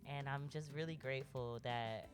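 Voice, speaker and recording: A woman with a very deep voice talks in a casual, reflective way. She pauses and hesitates as she thinks aloud. Faint music plays underneath her. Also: fairly even intonation. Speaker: podcast co-host. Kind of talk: casual chat, personal reflection.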